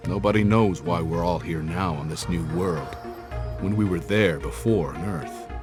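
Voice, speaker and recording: A folksy older adventurer, played by a man, tells his tale in a low voice with slangy, reflective phrasing. It sounds like a cinematic game cutscene, with music clearly playing behind him. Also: normal speed, natural voice. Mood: gentle, consoling. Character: folksy older male adventurer, warm storytelling uncle type.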